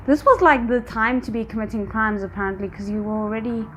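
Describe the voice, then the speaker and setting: Casting: female reaction host, fairly high voice, invested and thinking aloud, faint noise behind her. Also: fast talker, fairly bright tone. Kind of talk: casual reaction commentary to a drama episode.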